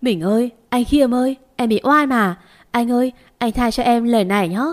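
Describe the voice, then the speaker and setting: Pleading, desperate young wife, higher and tearful-sounding, woman narrator. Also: fast talker, darkish tone, natural voice. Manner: pleading, begging.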